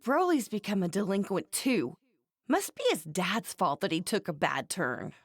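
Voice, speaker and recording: A woman's voice: medium pitch, sing-song, normal speed, no echo. An actress voicing a feisty young woman in an anime game, speaking bluntly and expressively with a scornful edge. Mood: curious, blunt. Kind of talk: scripted game voice lines.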